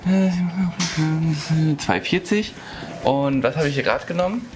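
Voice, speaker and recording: A man's voice: on the high side, a little slow, a little hiss or hum. A young man co-hosting a vlog in casual banter, with brief teasing asides. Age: young adult. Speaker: vlog co-host, camera operator.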